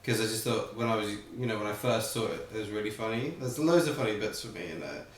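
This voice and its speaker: A man's voice: fairly low pitch, quick pace. A man in his twenties, chatting casually on a reaction video and laughing often. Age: 20s. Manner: animated, laughs a lot. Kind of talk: casual chat. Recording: roomy sound from a distant mic.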